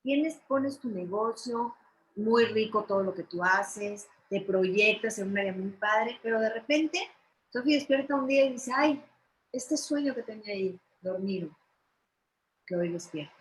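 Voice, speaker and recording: A woman with a Mexican accent and a mid-pitched voice hosts a conversational interview. She speaks in a motivational style, stressing her points emphatically and encouraging her listener. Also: animated, measured pace, slight background noise, rough recording. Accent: Mexican Spanish. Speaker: interview host.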